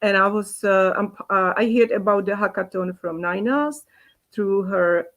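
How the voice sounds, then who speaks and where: A woman from the Czech Republic speaks on a video call in a mid-pitched voice. She pauses often and fills the gaps with small sounds. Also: a bit bright. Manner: hesitant, many fillers. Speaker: hackathon participant with a marketing background. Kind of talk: self-introduction on a video call.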